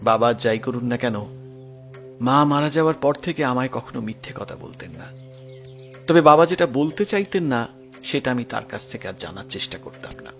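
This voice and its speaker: An actor playing a grieving, lonely grown son in an audio detective drama, speaking earnestly and with emotion. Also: male voice, mid-range voice, animated, normal speed, soft background music, natural voice. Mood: earnest, subdued. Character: grieving, lonely grown son recounting his murdered parents' past.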